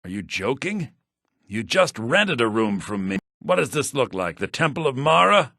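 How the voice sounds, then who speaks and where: Raspy, theatrical fantasy shopkeeper. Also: male voice, very animated, normal speed, sharp tone, dry recording, studio quality, heavily acted. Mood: sly, wheedling. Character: sly fantasy male shopkeeper, grasping, quick to yell at thieves. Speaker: merchant character in a fantasy video game. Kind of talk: video game character barks. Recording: low-bitrate compressed game audio rip.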